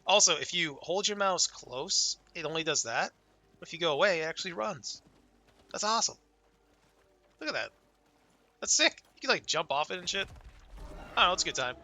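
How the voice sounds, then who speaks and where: A young man casually talking through his game character, laid-back and excited, his voice worn and going hoarse from a cold. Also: sits high, moderate pace, long pauses, no room sound. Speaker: gaming channel host. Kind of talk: casual gameplay commentary.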